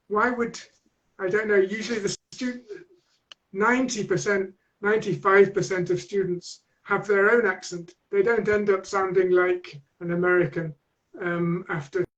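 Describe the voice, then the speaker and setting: A man's voice: sits high, fairly even intonation, measured pace, fairly bright tone, dull audio. A man with a Manchester accent, a teacher trainer answering interview questions in a thoughtful, hesitant way with many restarts. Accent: Manchester English.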